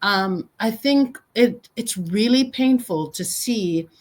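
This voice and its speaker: A woman hosting an interview, asking measured, thoughtful questions. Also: a little high, expressive, darkish tone. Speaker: host.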